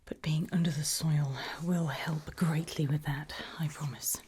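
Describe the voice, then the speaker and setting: A British woman whispering a creepy ASMR roleplay as a calm, reassuring gravedigger, hushed and matter-of-fact with long pauses. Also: low voice, husky.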